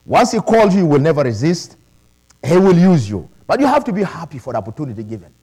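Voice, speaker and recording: A middle-aged man who learned English later, preaching a sermon with animated calls of praise. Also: on the high side, fast talker, husky. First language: not English. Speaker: pastor.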